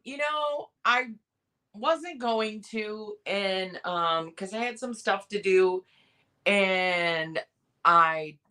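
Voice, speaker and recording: A hoarse woman with a cough, hosting a casual live sale and chatting in a rambling way with many pauses and restarts. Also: mid-range voice, sharp tone. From Michigan, USA. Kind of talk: casual live-stream chat.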